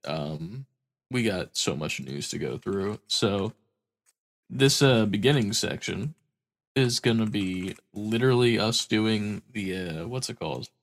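A man's voice: on the low side, a little slow. A man co-hosting a podcast in casual chat, stuffy-nosed from a cold, tired and rambling, swearing casually. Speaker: podcast co-host.

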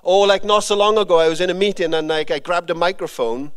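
Welsh guy preaching, pretty high voice, rambly and informal, lots of "like". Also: fast talker, smooth. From Wales. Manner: rambling, informal, frequent fillers and restarts. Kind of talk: conversational sermon with personal testimony.

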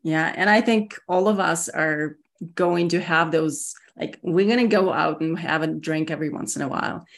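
Russian-accented woman, low voice. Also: moderate pace, vocal fry, a little hiss or hum. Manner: relaxed, hesitant, many ums and restarts. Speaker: guest, security executive. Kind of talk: casual interview conversation.

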